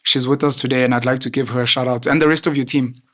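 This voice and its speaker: A man's voice: mid-range voice, steady tone, fast, a bit bright. A man hosting an interview, talking in an effusive, chatty and admiring way. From South Africa. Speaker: host. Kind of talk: interview conversation.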